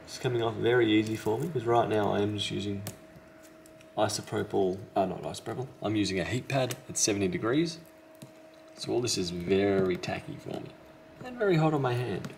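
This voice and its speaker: A man with a low, creaky voice talks through a hands-on repair in a casual way. He thinks aloud as he goes, tentative and correcting himself. There is faint noise behind him. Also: expressive, brisk. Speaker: repair technician, video host. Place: indoors at a repair workbench.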